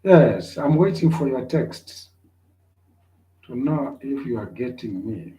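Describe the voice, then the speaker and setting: Male lecturer, unhurried and repetitive, very mellow tone, echoey room. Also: medium pitch, animated. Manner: repetitive, checks on listeners. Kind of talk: online class lecture.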